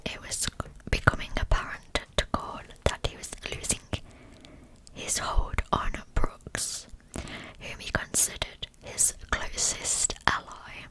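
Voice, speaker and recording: A woman narrating a scripted true-crime story for ASMR in a breathy, unvoiced whisper, hushed and deliberate. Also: poor audio.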